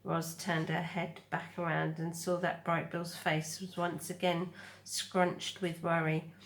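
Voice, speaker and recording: A woman reading a children's story aloud as narrator, in a careful, expressive manner. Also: lowish voice, slow pace. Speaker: storybook reader.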